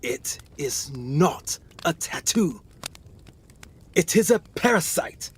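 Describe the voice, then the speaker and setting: A man's voice: on the high side, big pitch swings, a little hiss or hum. A man playing a haunted, guilt-ridden explorer doctor in a radio play, telling his story with theatrical intensity. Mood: haunted, grave. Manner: theatrical, intense, halting delivery.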